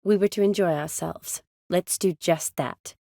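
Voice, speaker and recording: An actress playing a young army medic in a fantasy video game, a warm, playful friend with an expressive, teasing delivery. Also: female voice, fairly low pitch, unhurried, gravelly, dry recording, studio quality. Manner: expressive, teasing, sighs and soft chuckles.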